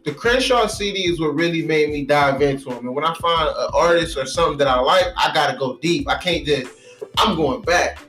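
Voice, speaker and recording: A man's voice: on the high side, brisk, a bit bright. A man co-hosting a podcast in casual, opinionated, slangy chat, often repeating his phrases. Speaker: podcast co-host. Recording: roomy, faint music underneath.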